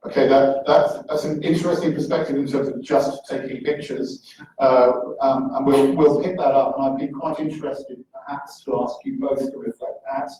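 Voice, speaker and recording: A man moderating a live panel discussion in a conference room, polite and a little halting as he calls on speakers. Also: medium pitch, mellow. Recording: echoey hall, distant mic, faint noise.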